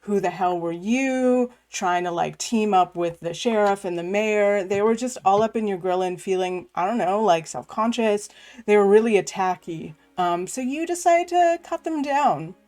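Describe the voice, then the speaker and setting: A woman's voice: medium pitch, moderate pace, a little mellow. A woman running a tabletop game as game master, casual and laughing often with many ums while she recaps the story.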